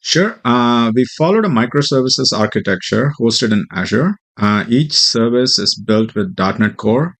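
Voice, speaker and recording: A man explaining technical material as a software developer, speaking hesitantly with frequent ums and pauses. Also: lowish voice. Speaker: software developer presenting a demo. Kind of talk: tech tutorial walkthrough and mock interview answers.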